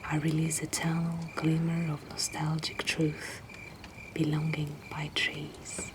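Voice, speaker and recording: A woman reading poetry in a hushed, breathy whisper, with measured and deliberate delivery. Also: low voice, monotone, heavy background noise. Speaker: poetry reader and host.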